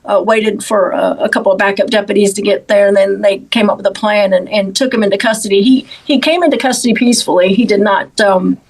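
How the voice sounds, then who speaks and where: A woman in law enforcement answering interview questions about an investigation, in a measured, halting way with repeated words. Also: medium pitch, fairly even intonation, poor audio.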